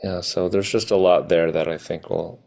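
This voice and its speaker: Very deep, gravelly, halting man. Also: little pitch movement, a little mellow. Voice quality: very gravelly, husky. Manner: halting, trailing fragments. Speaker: participant sharing at an online gathering. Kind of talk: informal reflective sharing. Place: online video call.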